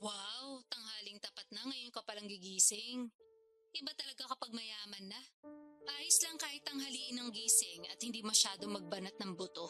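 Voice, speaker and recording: Music plays under a very high female voice with a Filipino accent. An actress plays a pushy big sister from the province in a radio drama. She needles, complains and wheedles for money. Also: moderate pace, sharp tone. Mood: sarcastic, teasing. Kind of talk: Tagalog radio-drama phone dialogue. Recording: music bed behind the voice.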